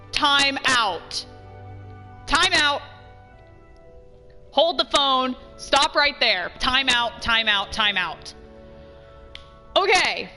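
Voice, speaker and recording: Bright and high, a woman talks over faint game music as a let's play host. Her commentary is casual and excitable, often doubling up phrases for emphasis. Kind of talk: casual gameplay reaction commentary.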